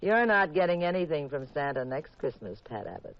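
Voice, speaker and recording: Sardonic detective's wife, playful and arch, muffled old 50s radio show. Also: female voice, sits low, big pitch swings, brisk, loud music behind the voice. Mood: teasing, sarcastic. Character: sharp, teasing wife of a detective. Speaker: lead actress and narrator. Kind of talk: 1950s radio detective drama acting. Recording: muffled vintage radio recording.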